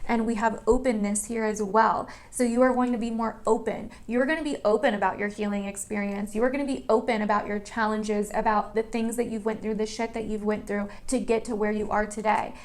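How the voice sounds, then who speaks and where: A woman's voice: medium pitch, fairly even intonation, a little fast, few pauses, a little mellow. A woman hosting an online tarot reading, talking to viewers in a casual, chatty, emphatic way and repeating phrases for stress.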